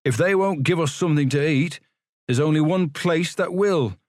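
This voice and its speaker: A man's voice: on the high side, normal speed, a little mellow, no echo, clean studio sound, voice pushed up, close to an everyday voice. A man voicing a practical, streetwise young male pauper who talks plainly. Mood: scheming.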